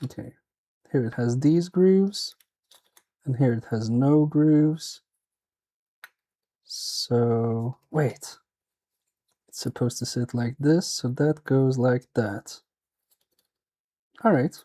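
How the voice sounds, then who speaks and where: A man's voice: medium pitch, slow pace, long pauses, mellow. A man with a Swedish accent, thinking aloud and muttering to himself as he repairs a toy on a live stream. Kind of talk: casual live-stream commentary while repairing.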